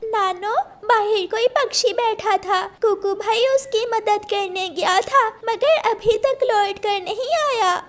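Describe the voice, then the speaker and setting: A woman's voice: very high voice, slow, pitched above the performer's own voice. A woman voicing a young, worried girl bird in a cartoon, with a childlike, anxious manner.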